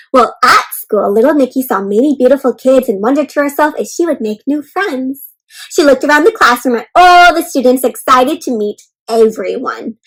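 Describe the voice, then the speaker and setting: Female children's read-aloud host. Notably high voice, expressive and encouraging, engages young listeners with questions. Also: low-quality recording. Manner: expressive, encouraging teacher-like delivery.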